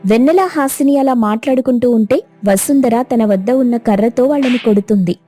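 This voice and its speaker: A woman narrating a cartoon story in a steady, plain storytelling manner. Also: fairly high pitch, fairly quick, soft background music.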